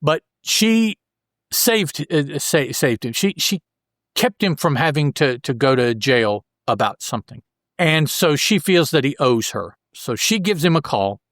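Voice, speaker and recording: A man's voice: fairly high pitch, big pitch swings, unhurried, fairly bright tone, no echo, clean studio sound. A man hosting a podcast, recapping a comic book in a casual, colloquial way with joking asides. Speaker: podcast host.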